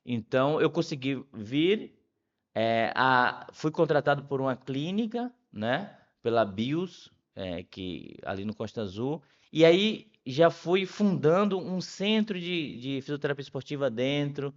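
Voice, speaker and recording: Middle-aged man, Bahian accent, medium pitch, conversational guest, word repetitions, slightly lo-fi sound. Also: sing-song, unhurried. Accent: Bahian Brazilian Portuguese. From Salvador, Bahia, Brazil. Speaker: podcast guest, physiotherapist. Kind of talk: casual conversational storytelling.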